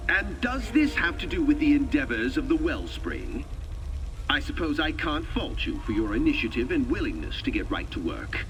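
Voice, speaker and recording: A man's voice: fairly high pitch, fairly bright tone. A man voicing an imperious, secretive lector in video game dialogue, formal, pompous and evasive, muffled and distant under music. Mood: reproachful.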